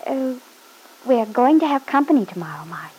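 An actress playing a loving, patient young Italian wife in a classic radio drama, speaking in a tender, coaxing way. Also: female voice, on the high side, big pitch swings, fairly quick, warm tone, a little hiss or hum, rough recording. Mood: sweet, coaxing. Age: young adult.

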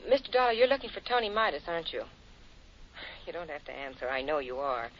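An actress playing an insistent young woman calling on the telephone in a 1950s radio drama, speaking hushed. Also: sits low, very animated, fast talker, a little hiss or hum. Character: urgent young woman with a secret. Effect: telephone line. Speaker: caller. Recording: tinny phone call in an old broadcast.

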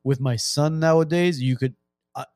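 A man's voice: a little high, moderate pace, a little mellow, no echo, studio quality. A man in his thirties, a father of two, co-hosting a podcast and talking in a rambling, easygoing way. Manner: rambling, restarts sentences, easy laughter. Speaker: podcast co-host. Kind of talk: casual podcast chat.

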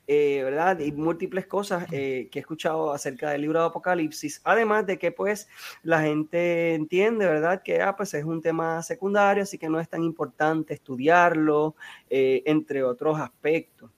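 A man's voice: on the high side, fairly even intonation, normal speed. A man hosting a podcast interview, talking hesitantly with many fillers and tag questions. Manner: hesitant, frequent fillers and "¿verdad?". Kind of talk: casual interview.

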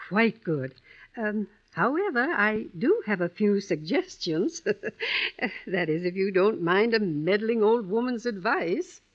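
An actress playing a kindly, wistful elderly widow in an old-time radio drama, gentle and hesitant, with fond endearments. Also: female voice, mid-range voice, expressive, measured pace. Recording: dull vintage radio recording.

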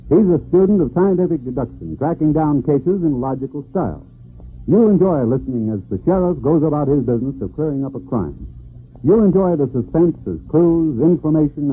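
A man reading a scripted promo as a radio announcer, in a smooth, emphatic style on an old broadcast. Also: on the high side, phone-quality sound.

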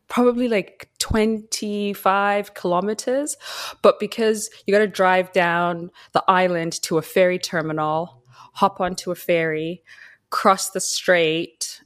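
A woman from Zimbabwe, a guest on a podcast, chatting casually, laughing easily and sounding a little tired. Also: on the low side. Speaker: podcast guest, mining engineer. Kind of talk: casual interview chat.

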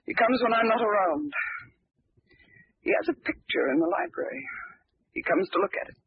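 A woman's voice: high-pitched, expressive, normal speed, vocal fry, no echo, exaggerated voice. An actress playing an anxious woman whose husband has died, questioned by the police, in a 1930s radio drama. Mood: distraught. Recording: muffled old radio broadcast.

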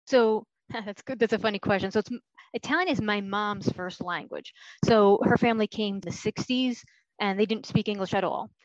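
A woman's voice: medium pitch, normal speed, no echo, highs cut off. A woman on a podcast, explaining her thoughts carefully in a casual chat.

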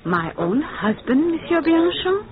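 A woman's voice: extremely high pitch, big pitch swings, unhurried, crowd noise, full character voice. A woman playing a haughty, sly, scheming society wife in a 1940s radio drama, with coquettish manners. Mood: feigned distress, coy. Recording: muffled, narrow-band old radio recording.

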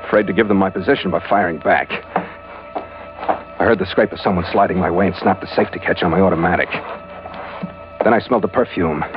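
An actor playing a tough, wry detective in old radio noir style, speaking and narrating in a laconic, deadpan way. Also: male voice, low-pitched, lightly acted. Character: tough, wry male hardboiled detective narrating his adventure. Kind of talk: 1940s-50s radio noir acting and first-person narration. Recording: muffled old radio recording, loud music behind.

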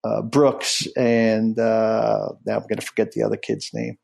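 A man's voice: lowish voice, completely flat delivery, unhurried, gravelly, a little mellow. A middle-aged man hosting a podcast, talking in a blunt, rambling way with many restarts and fillers. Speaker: podcast host. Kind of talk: casual podcast chat.

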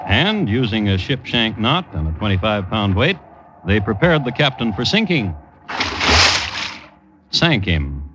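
A man narrating a 1950s radio drama in a wry, formal, measured storytelling manner. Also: fairly low pitch, big pitch swings, noisy background. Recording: dull old radio recording.

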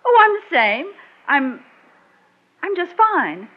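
A woman's voice: high-pitched, very animated, takes it slowly, full character voice. An actress playing a flustered, genteel small-town club woman, fluttery and hesitant, in a 1960s radio drama. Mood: nervous, chatty. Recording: muffled vintage radio recording.

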